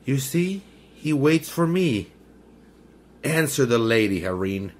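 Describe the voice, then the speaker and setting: An actor playing a menacing male mystic villain in a 1930s-style radio drama, courtly and slow, then threatening. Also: mid-range voice, sing-song, heavy background noise. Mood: suave.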